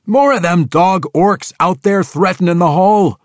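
A man voicing a brash, belligerent young male dwarf warrior in an audiobook, with a gruff brogue. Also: high voice, sing-song, slow, dry recording, studio quality, voice pushed up, heavily acted. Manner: belligerent, taunting. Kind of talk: audiobook character voice.

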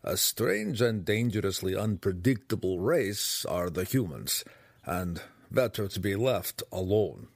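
A man plays a gruff deep gnome warden from a fantasy audiobook. The voice is low and husky, drawn out with grave, grim distrust. The sound is close and dry. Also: big pitch swings, measured pace, dry recording, exaggerated voice. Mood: grim disdain. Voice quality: resonant.

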